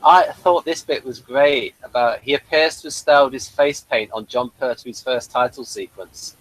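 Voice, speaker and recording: A man's voice: mid-range voice, moderate pace, a bit bright, poor audio. A man co-hosting a casual review chat, speaking hesitantly with many fillers. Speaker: podcast co-host.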